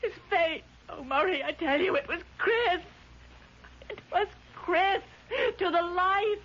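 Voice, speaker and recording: An actress playing a distraught, terrified young woman in a 1970s radio drama, halting, repeating herself and pleading. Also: very high voice. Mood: shaken, insistent. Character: distraught, terrified young woman convinced she saw her dead brother. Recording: dull, noisy vintage radio recording.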